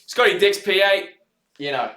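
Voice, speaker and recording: A man co-hosting casual race commentary, chatting in relaxed, slangy banter. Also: high-pitched, moderate pace. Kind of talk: casual sports chat on a stream. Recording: roomy echo.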